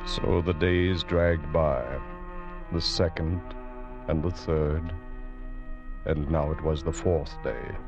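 A man playing a grave narrator in an old radio adventure drama, speaking solemnly and deliberately. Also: extremely low pitch, flat delivery, full character voice. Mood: solemn, weary. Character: grave male narrator of a sea ordeal. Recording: dull old radio recording under loud music.

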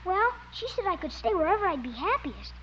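Very high-pitched young boy. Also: big pitch swings, a little fast, sharp tone, exaggerated voice. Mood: earnest, hopeful. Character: shy, polite young boy, adopted cousin. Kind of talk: 1950s radio comedy-drama acting. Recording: dull, faintly noisy 1950s radio recording.

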